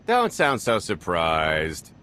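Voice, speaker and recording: A man's voice: deep voice, wildly swooping pitch, takes it slowly, pushed and bright, a little hiss or hum, heavily acted. A man voicing a roguish middle-aged smuggler in a video game, wry, breezy and glib. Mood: wry, pleased. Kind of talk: video game cutscene acting.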